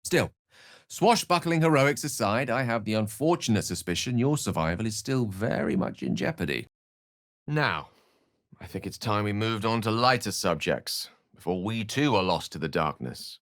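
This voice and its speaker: A low, very expressive male wizard from a fantasy video game. He is learned and earnest, with a wry edge and florid, articulate phrasing. The sound is dry and close. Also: moderate pace, no room sound. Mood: eloquent. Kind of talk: scripted video game character dialogue.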